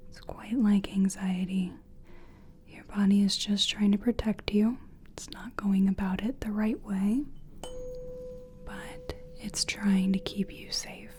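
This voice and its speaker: A woman giving a soothing, gentle ASMR personal attention roleplay in a breathy whisper, speaking deliberately. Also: mid-range voice, expressive, a little hiss or hum. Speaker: ASMR creator playing a soul-cleansing practitioner.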